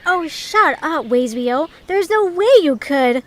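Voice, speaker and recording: Woman playing a high-pitched, snippy young traveller. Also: animated, slow pace, a bit bright, a little hiss or hum, full character voice. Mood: irritated. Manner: scornful, curt. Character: snippy, sceptical young female fantasy traveller. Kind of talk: amateur audio drama acting.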